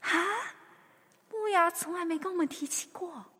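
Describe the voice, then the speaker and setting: A woman's voice: extremely high pitch, normal speed, sharp tone. An actress playing a curious young woman companion who keeps asking questions, in an audio drama. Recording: long reverb.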